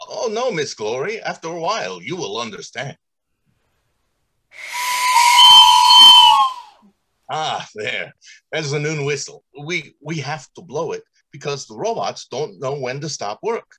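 Male, middle-aged, acting assured radio-play industrialist, emphatic and explanatory, husky voice with wide swings. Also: mid-range voice, sing-song, normal speed, fairly bright tone, low-quality recording. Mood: eager, proud. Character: confident, talkative robot factory manager. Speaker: actor. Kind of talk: old-time radio drama acting. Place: small indoor room on a video call.

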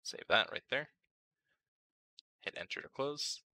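A man narrating a casual step-by-step software tutorial, informal and thinking aloud as he works. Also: mid-range voice, lively intonation, a little slow, dry recording. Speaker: tutorial host.

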